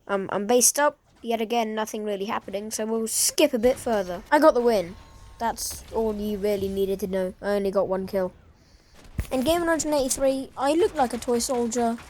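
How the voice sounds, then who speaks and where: A woman's voice: on the high side, darkish tone. A woman with a sore, congested throat, giving dry, self-deprecating voice-over commentary on her gameplay clips. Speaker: gaming video creator.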